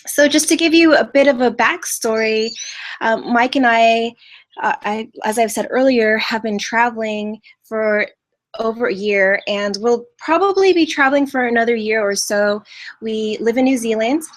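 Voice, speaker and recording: A woman's voice: on the high side, moderate pace. A woman in her thirties from California, hosting a live online training, chatting in an upbeat way with many fillers and restarts from a family home. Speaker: online business host. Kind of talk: live-streamed training talk.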